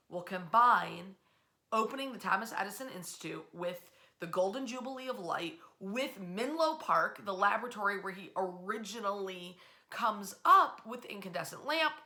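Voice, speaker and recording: A woman hosting an informal history storytelling talk, speaking conversationally with animated asides and emphatic pauses. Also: mid-range voice.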